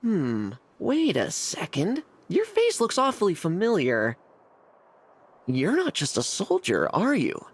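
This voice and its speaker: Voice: medium pitch, wildly swooping pitch, takes it slowly, slightly rough, exaggerated voice. An actor voicing a breezy, teasing companion in a video game cutscene. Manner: casual, teasing.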